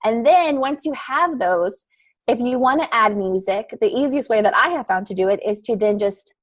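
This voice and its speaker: A woman's voice: on the high side, expressive, normal speed, poor audio. Rambling woman explaining podcasting. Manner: rambling, searching for words, laughing. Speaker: podcast guest speaker. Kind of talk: casual how-to chat in an online meeting. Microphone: video call.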